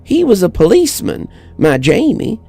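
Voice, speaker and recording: A frail old widow played by a male narrator speaks in a very creaky, soft-toned voice that rises and dips widely. She is lonely and grieving, reminiscing haltingly, as in an audio storybook. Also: sits high, wildly swooping pitch, dry recording, faint music behind, voice pushed up, heavily acted. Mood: sad, reminiscing. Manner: halting, wistful delivery. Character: lonely grieving elderly widow, wistful neighbour.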